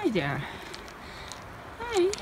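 Young woman hiker, chatty self-shot vlog, slow unhurried delivery, outdoor wind noise on a camera mic. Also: very high-pitched, huge pitch swings. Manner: chatty, cheerful, laughs between remarks. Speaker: hiking vlogger. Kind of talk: casual vlog narration while hiking. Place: outdoors on a mountain trail. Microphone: handheld camera at arm's length.

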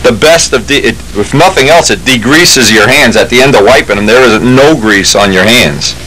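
An older man casually explaining his model airplane work in a home workshop, relaxed and rambling. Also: medium pitch, moderate pace, rough recording.

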